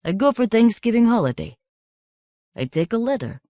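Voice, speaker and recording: A woman's voice: on the high side, big pitch swings, mellow, no echo, clean studio sound, pitched above the performer's own voice. A woman doing a sly thin boy. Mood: knowing. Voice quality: sibilant, harsh accent. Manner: halting, oddly formal phrasing. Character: thin foreign teenage boy, sly and knowing. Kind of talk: audiobook character voice.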